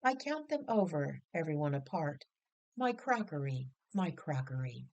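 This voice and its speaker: A woman's voice: low voice, very animated, normal speed, vocal fry, a little mellow, dull audio, full character voice. A woman voicing the teasing, incredulous grown children of a fun-loving family in a storybook, exclamatory and mock-dramatic. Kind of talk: storybook character voices.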